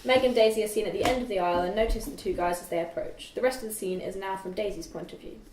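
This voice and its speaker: A woman's voice: mid-range voice, fast talker. A young woman reading scripted stage directions aloud at a table read. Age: young adult. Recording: roomy, distant mic, faint noise.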